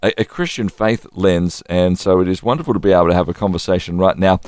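A male radio host speaks in a friendly but halting way and often restarts his sentences. His voice sits low. The studio sound is close and dry. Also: darkish tone, clear, resonant tone, no echo. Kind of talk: radio interview.

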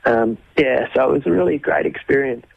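A forty-year-old Australian man, a youth minister, talking in a casual interview and often pausing with ums and restarts. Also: medium pitch, gravelly, dry recording. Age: 40s. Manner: thoughtful, many ums and restarts. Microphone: phone. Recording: tinny phone line.

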